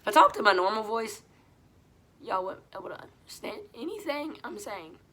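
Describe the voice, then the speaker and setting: Hoarse, bright-voiced woman. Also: a little high, sing-song, normal speed. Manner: blunt and profane, talks straight to viewers; talks over her hoarseness, voice pushed a little louder than usual. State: hoarse from a head cold. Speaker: vlogger sharing her recovery story. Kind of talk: casual confessional monologue.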